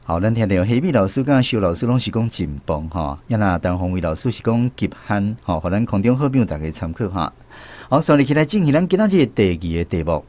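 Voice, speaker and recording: A man's voice: fairly low pitch, sing-song, quick pace, darkish tone. A man with a Taiwanese accent, hosting a language quiz segment on the radio. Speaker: radio show host.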